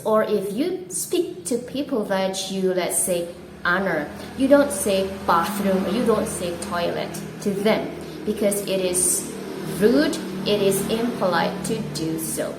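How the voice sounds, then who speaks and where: A woman who speaks natively, hosting an English-learning channel and explaining a lesson slowly and deliberately, with some filler words. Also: mid-range voice. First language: Indonesian. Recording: echoey room with clear background noise.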